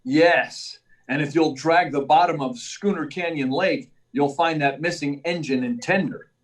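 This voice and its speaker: Young reporter hero, male actor: brisk, deferential, high male voice, vintage radio drama, slightly off-mic. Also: close to an everyday voice. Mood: brisk, confident. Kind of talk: 1940s radio serial acting. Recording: distant mic, live remote performance.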